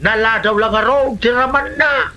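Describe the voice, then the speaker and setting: A woman's voice: fairly high pitch, moderate pace, bright, forceful tone. A woman with an Ilocano Filipino accent playing a gossipy, teasing village neighbour in an amateur comedy drama, full of questions and exclamations. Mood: gossipy, chiding.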